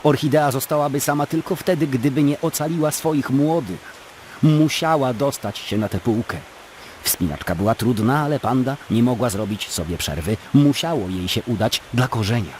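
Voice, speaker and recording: A man narrating a scripted story as a dramatic, expressive storyteller in an audio drama. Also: mid-range voice, normal speed, slight background noise.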